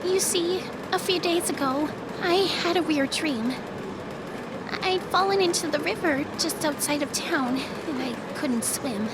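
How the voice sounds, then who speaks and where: A woman doing an extremely high-pitched awkward schoolgirl. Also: measured pace, very noisy. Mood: sheepish. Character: friendly, awkward, excitable teenage schoolgirl. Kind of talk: audio drama acting. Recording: loud background ambience.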